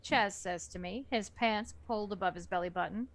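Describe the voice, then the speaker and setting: A woman reading a novel aloud in the first person for a casual book club, in a plain, even delivery. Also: medium pitch, brisk.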